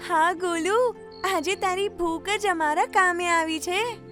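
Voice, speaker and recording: A woman's voice: very high voice, slow pace, a bit bright, soft background music, voice pushed up, heavily acted. A woman voicing a polite, brave little girl in a children's cartoon story, earnest and pleading in manner. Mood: cheerful, earnest.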